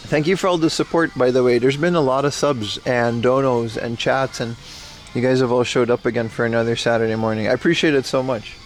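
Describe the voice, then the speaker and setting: A young man livestreaming as he browses a secondhand shop, chatting excitedly and thanking viewers, recorded on a handheld phone. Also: medium pitch, a little fast, faint music behind. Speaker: streamer. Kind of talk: casual IRL livestream chat.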